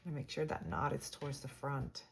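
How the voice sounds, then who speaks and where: A woman hosting a sewing tutorial, chatty and upbeat with lots of fillers, her voice hoarse and cutting in and out. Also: extremely low pitch, fairly even intonation, moderate pace, over loud music. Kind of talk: casual how-to demonstration.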